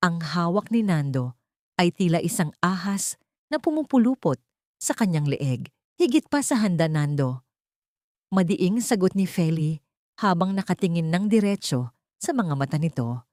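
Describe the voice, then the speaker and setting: A woman's voice: fairly low pitch, lively intonation, moderate pace, a little mellow, dry recording, clean studio sound. A woman reading a scripted dramatic love story as its narrator.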